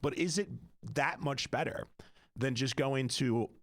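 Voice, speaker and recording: A man's voice: mid-range voice, unhurried, no room sound. A man hosting a casual radio talk show, chatty and full of fillers and asides.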